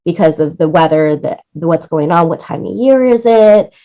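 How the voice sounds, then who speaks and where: A woman's voice: lowish voice, expressive, moderate pace, dark tone, highs cut off. A woman being interviewed about her work in production, talking in an enthusiastic, rambling way. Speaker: guest, music supervisor and producer.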